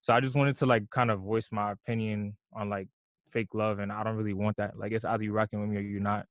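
A young man from New York talks about his music in a relaxed, unhurried way, leaning on fillers like "like" and "you know". His voice sits low and stays fairly flat. The sound is dry and close, with no room echo. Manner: laid-back, many fillers like "like" and "you know". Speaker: musician guest. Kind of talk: casual interview conversation.

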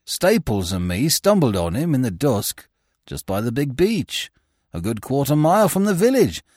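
A man's voice: medium pitch, sing-song, normal speed, a little mellow, no room sound, clean studio sound, pitched above the performer's own voice. A man reading aloud voices a plain-spoken working man, deferential and rustic in his speech. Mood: earnest. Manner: deferential, rustic, says sir.

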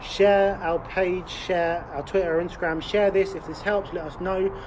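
A man's voice: sits high, fairly even intonation, measured pace, noisy background. A man, co-hosting a casual podcast chat. He rambles, swears a lot and laughs often. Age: late 20s. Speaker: podcast co-host.

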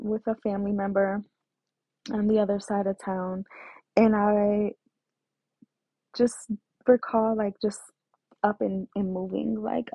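A woman slowly tells her personal story, with a gravelly fry in her voice and frequent hesitations and restarts. The recording is dry and tinny, like a phone line. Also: medium pitch, dry recording. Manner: hesitant, many fillers and restarts. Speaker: guest telling her life story.

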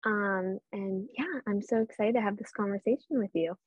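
A woman's voice: medium pitch, normal speed, husky, no echo. A seventeen-year-old girl with a Boston accent, answering interview questions earnestly with frequent ums. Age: teens. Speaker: podcast guest. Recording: dull lo-fi sound.

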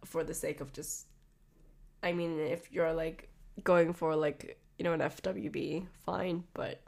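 Young woman w a stuffy nose, kinda low voice, rambly podcast chat, lots of "like". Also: steady tone, measured pace, vocal fry, a little mellow. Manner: chatty, rambling with frequent "like" and restarts. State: blocked nose, a bit nasal. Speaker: podcast host. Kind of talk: casual solo podcast talk.

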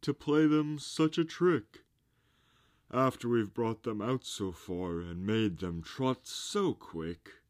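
A man voicing a pompous, coaxing walrus from a storybook, with a grand, drawn-out, theatrical delivery. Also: medium pitch, voice pushed up, close to an everyday voice. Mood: mock sorrowful. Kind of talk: storybook character reading.

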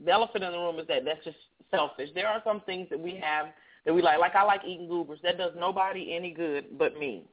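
A man's voice: high-pitched, fast talker. A male radio show host with a heavy voice, chatting loosely in an interview. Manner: says he has a heavy voice; chatty, rambling with restarts. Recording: tinny phone-like line.

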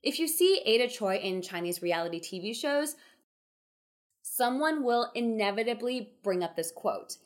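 Woman podcast co-host, animated, higher voice, casual delivery. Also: moderate pace.

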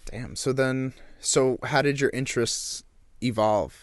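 A man hosting a casual podcast, relaxed and asking questions. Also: medium pitch, measured pace, dry recording. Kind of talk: casual chat.

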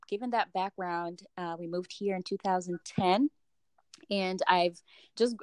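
A woman's voice: on the low side, darkish tone, no echo. A young woman from East Africa whose first language is Luganda, a doctoral student speaking as a podcast guest in an upbeat, casual way, with frequent fillers and laughs. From East Africa (Uganda, Rwanda). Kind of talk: casual interview chat.

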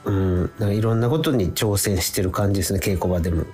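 A man's voice: low voice, fast talker, dark tone, faint music behind. A man, chatting casually as a radio guest in short, modest replies. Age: 40s. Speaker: stage actor, radio guest. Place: radio studio.

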